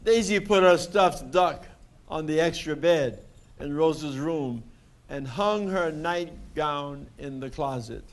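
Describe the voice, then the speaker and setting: A man narrating a children's picture book expressively and deliberately, with dramatic pauses. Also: a little high. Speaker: storybook reader.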